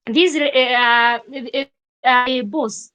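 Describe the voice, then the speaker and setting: A young Kenyan woman telling a personal story in a vlog, with animated emphasis and repeated rhetorical questions. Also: high voice, very slow, smooth. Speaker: vlogger.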